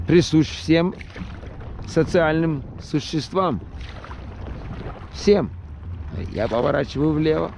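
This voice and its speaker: A man's voice: a little high, creaky, a little mellow, slight background noise. A man with a Russian accent touched by Brooklyn, chatting casually outdoors on the water, thoughtful, with frequent restarts. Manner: chatty, restarts often, speaks slowly and thoughtfully. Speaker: vlogger on a paddleboard. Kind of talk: casual chat.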